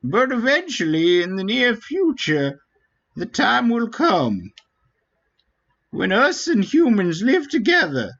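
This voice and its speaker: Slow, high-voiced ancient elder played by a man. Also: wildly swooping pitch, fairly bright tone, lo-fi sound, exaggerated voice. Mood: solemn, hopeful. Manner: slow, grave, formal delivery. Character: ancient, solemn Wingly elder, wise leader of a forest people. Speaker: game streamer. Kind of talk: dramatic read-aloud of fantasy game dialogue. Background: faint game music.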